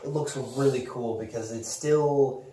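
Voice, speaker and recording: A man's voice: medium pitch, fairly even intonation, unhurried, mellow. A man co-hosting a casual movie and gaming chat, talking in a slangy, sweary way. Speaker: podcast host. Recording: echoey room, distant mic, hissy noise.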